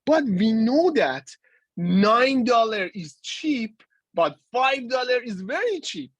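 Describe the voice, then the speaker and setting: A man in his forties delivers a university lecture at an unhurried, careful pace. He often stops mid-phrase and starts again, filling the gaps with hesitations. The sound is dry and close, with no room echo. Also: very high-pitched, sing-song, a bit bright. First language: Persian. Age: 40s. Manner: halting, with many restarts and fillers. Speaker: guest lecturer.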